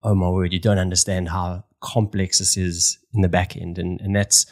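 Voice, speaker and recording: A South African man in his thirties hosts a relaxed interview over a video call. His voice sits low, and he talks in a loose, circling way, restarting phrases as he goes. He's close on a stand mic in a small room at home. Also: normal speed. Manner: chatty, repeats words while searching for phrasing. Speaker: podcast host. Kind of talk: casual interview chat. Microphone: studio mic on stand with headphones, video call.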